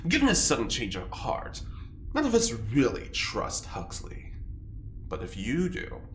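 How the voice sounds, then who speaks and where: A man's voice: medium pitch, wildly swooping pitch, a little slow. An actor playing a prim, earnest, easily affronted man with a formal, theatrically wounded manner in an audio drama. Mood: measured. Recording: roomy, faint noise.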